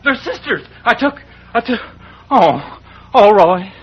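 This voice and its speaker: A man playing an excitable, bumbling comic cowboy sidekick, stammering and folksy, with a rural American accent and a cracking, raspy voice. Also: high voice, takes it slowly, heavily acted. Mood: flustered. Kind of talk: old-time radio comedy sidekick acting. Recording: muffled old radio broadcast.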